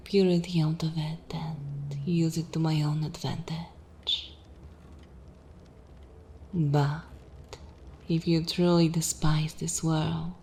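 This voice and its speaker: Woman acting a slow, imperious vampire mistress. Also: low-pitched, dark tone, slight background noise. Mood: commanding, seductive. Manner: slow, commanding, theatrical, breathy whispering at times. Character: imperious, seductive vampire mistress, coldly mocking her human supplicant. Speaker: ASMR roleplay performer. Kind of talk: ASMR dark fantasy roleplay, partly whispered.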